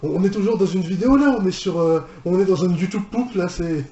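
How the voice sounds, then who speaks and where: Male reaction host, higher-pitched and soft-edged, halting and informal, recorded in a roomy space. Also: highs cut off, slight background noise. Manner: halting, repeats and rephrases himself. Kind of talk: casual unscripted reaction commentary. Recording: roomy echo, music behind.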